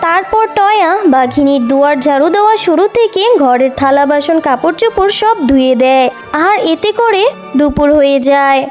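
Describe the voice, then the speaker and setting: A woman's voice: sits high, lively intonation, normal speed, darkish tone, soft background music. A woman narrating a scripted children's story.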